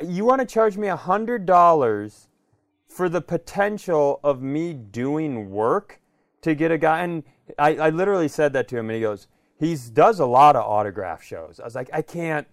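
Animated man telling casual comic stories. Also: fairly high pitch, measured pace, no echo, studio quality. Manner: animated, swearing, acts out dialogue. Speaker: comedy podcast co-host. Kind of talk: casual comedic storytelling.

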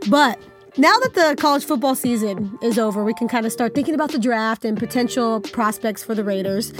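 A woman's voice: fairly high pitch, expressive, brisk, faint music behind. A woman in her thirties co-hosting a sports podcast, upbeat and chatty, slightly nasal from a cold. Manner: upbeat, chatty, laughs easily. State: has a cold. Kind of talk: casual sports chat.

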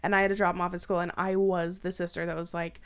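Canadian woman telling stories in an animated way. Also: on the low side, fast talker, smooth. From southwestern Ontario, Canada. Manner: animated, acts out quotes and sounds. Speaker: podcast co-host. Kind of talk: casual chat, anecdotal storytelling.

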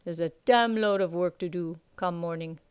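A woman voicing a gruff male sea captain who talks in rough sailor speech and keeps tagging his lines with "aye.". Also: fairly low pitch, normal speed, lightly acted. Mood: commanding.

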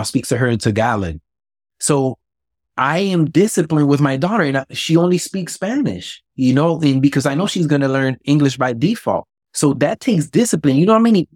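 A man's voice: on the high side, darkish tone, no room sound, clean studio sound. A man from Miami, a businessman and former boxer, answering interview questions candidly with personal examples. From Miami, USA. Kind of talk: conversational interview.

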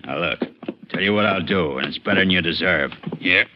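An actor playing a greedy, bullying Texas farmer with a rural Southern accent and a gruff manner in a 1950s radio drama. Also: male voice, sits low, brisk, lightly acted. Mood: hard, dismissive. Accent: rural American Southern. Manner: gruff, threatening, folksy drawl. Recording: dull, noisy vintage radio recording.

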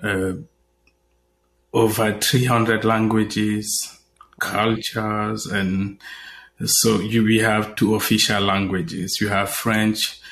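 A man's voice: fairly low pitch, flat delivery, takes it slowly, a bit bright, a little hiss or hum, poor audio. A man from Cameroon, a guest journalist, talking in an interview with many fillers and restarts. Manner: hesitant, many fillers and repeated words. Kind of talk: conversational interview.

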